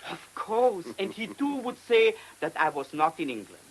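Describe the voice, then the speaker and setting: An actor in a radio drama playing a cunning, self-assured German man who speaks with deliberate, mocking polish in a German accent. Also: sits high, sing-song, low-quality recording. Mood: triumphant, smug. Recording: hissy old radio play recording.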